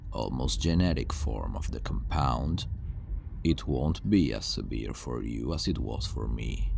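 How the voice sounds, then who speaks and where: Guy doing a supervillain scientist for asmr, super low slow voice, creepy reassuring, noisy background. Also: vocal fry, heavily acted. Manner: measured, deliberate, pausing, reassuring. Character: superpowered male scientist villain, calm, obsessive, soothingly menacing. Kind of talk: ASMR roleplay, intimate scripted monologue to the listener.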